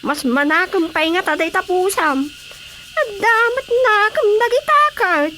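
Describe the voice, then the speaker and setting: A woman's voice: extremely high pitch, measured pace, sharp tone, a little hiss or hum, full character voice. A woman playing a talkative, exclaiming older village gossip with an Ilocano accent in a radio drama comedy. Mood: gossipy, animated.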